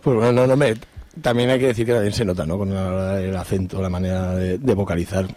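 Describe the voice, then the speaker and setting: A man's voice: sits low, steady tone, fast talker, warm tone. A man with an accent from Spain hosting an informal radio interview, with hesitant, rambling phrasing. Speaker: radio host interviewing a band. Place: radio studio.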